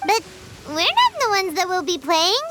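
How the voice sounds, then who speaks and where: A woman's voice: very high voice, wildly swooping pitch, normal speed, over music, heavily acted. A woman voicing a tiny, childlike fairy companion in a video game who chirps and talks about herself in the third person. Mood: chirpy.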